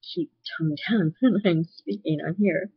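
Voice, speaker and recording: Candid woman vlogger, husky webcam audio. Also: lowish voice, measured pace, no echo, lo-fi sound. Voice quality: loud voice. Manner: candid, earnest, sharing personal experience. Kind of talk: personal video talk.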